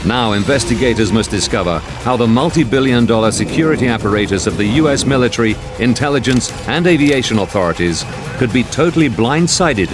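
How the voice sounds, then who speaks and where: A man narrates a documentary with dramatic, scripted delivery. His voice is mid-pitched, set over loud music and rumbling effects. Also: animated, a little fast.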